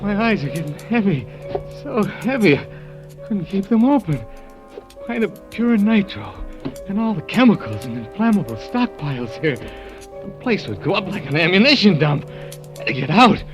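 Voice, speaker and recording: A man's voice: high voice, sing-song, moderate pace. An actor narrating as a drugged, panicky male factory worker in a 1940s radio drama. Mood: drowsy dread. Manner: breathless, panicky first-person narration. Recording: old radio broadcast with music.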